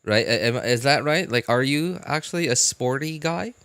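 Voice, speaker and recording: A man casually chatting as a live-stream host, answering viewers in a halting way and repeating his phrases. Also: medium pitch, smooth, no echo. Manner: halting, repeats phrases, animated incredulous reactions.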